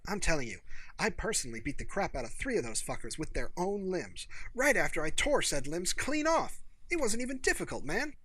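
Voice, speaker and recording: A man's voice: sits high, sing-song, brisk, a bit bright, husky, pitched above the performer's own voice, heavily acted. A man voicing a bitter, agitated young American barkeep who talks in emphatic, sweary, sarcastic rants.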